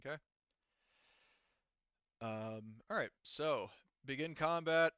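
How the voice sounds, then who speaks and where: A man's voice: on the high side, slow, fairly bright tone, clean tone, no echo. A man running a tabletop roleplaying session as game master, talking informally. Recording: slightly dull, dry close recording.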